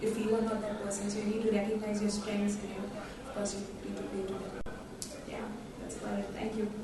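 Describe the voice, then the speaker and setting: Blunt young woman, flat in echoey hall. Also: mid-range voice, quick pace, a loud crowd. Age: young adult. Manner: blunt, emphatic. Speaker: panel speaker. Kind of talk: conference talk. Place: large conference hall. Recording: echoey hall from far off, noisy.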